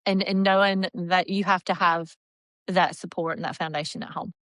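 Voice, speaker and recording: A woman's voice: fairly low pitch, fairly even intonation, normal speed, a bit bright, no room sound. A middle-aged woman who works as a real estate agent, giving earnest, explanatory interview answers with many restarts.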